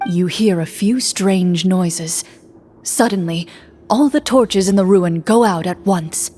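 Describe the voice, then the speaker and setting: A woman calmly narrating a game story in a fan voice reading, guiding listeners step by step. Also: lowish voice, soft background music. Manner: calm, slow storytelling.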